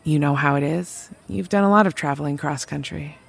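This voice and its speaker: A woman's voice: low-pitched, fairly quick, vocal fry, breathy edge, full character voice. A woman playing a resourceful drifter mechanic, telling of travels in a naturalistic audio drama, conversational and wry. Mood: reflective, wry.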